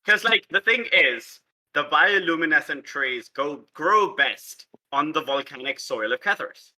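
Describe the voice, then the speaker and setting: A man's voice: on the high side, lively intonation, measured pace, sharp tone, poor audio. A man chatting casually with a group as a tabletop roleplay player, building a game world together.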